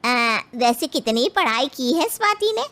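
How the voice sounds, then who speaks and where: A woman's voice: high voice, sing-song, measured pace, a bit bright, exaggerated voice. A woman voicing a demanding, old-fashioned mother-in-law who probes condescendingly in a cartoon story. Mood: polite, probing.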